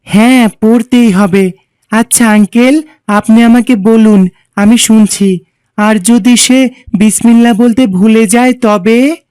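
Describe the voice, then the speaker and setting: A woman's voice: fairly high pitch, takes it slowly, warm tone, clear, resonant tone, dry recording. A woman playing a polite, well-behaved, earnest young boy in a cartoon. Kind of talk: didactic cartoon dialogue.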